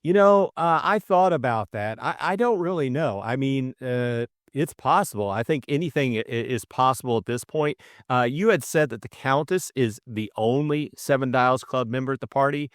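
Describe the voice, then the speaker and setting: Middle aged guy doing book narration and book chat, medium low male pitch, really dry room. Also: moderate pace, hardly any pauses, no room sound. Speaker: audiobook reader and co-host. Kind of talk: storybook narration and casual book discussion.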